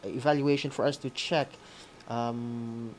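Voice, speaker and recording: A Filipino co-host of a radio school program giving announcements to students in a halting way, often ending phrases with a questioning "no?". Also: medium pitch, steady tone, a little hiss or hum. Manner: halting, often adds "no?" as a tag. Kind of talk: semi-scripted announcements to students on air.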